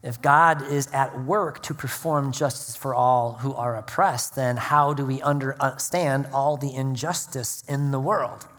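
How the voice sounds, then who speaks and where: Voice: medium pitch, fairly even intonation, measured pace, hardly any pauses, fairly bright tone. Preacher with long hall reverb. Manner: earnest preaching with prayer and scripture reading. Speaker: pastor. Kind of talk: sermon. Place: church sanctuary.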